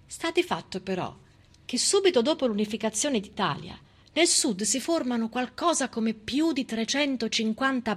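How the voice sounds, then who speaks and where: A woman delivering a theatrical storytelling monologue in a dramatic, emphatic way with deliberate pauses. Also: fairly high pitch, a bit bright, slightly rough. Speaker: stage actress and narrator.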